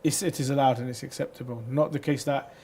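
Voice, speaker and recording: A man presenting a live religious Q&A show, reading viewers' messages aloud in a lively way and sometimes tripping over words. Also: mid-range voice, quick pace, slightly rough. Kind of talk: live call-in Q&A hosting.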